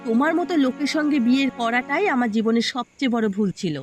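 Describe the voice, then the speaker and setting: A woman voicing a resentful, sarcastic young village wife in a cartoon, with a sharp scolding manner. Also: a little high, fast talker, lightly acted. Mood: angry, accusing. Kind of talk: scripted cartoon dialogue. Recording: loud music behind the voice.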